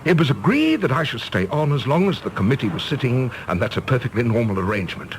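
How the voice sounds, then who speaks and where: An actor playing a proud, embittered English career diplomat, formal, clipped and argumentative, in a period radio drama. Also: male voice, mid-range voice, sing-song, quick pace, a little hiss or hum, lightly acted, poor audio. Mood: indignant. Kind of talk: period stage-play radio drama acting.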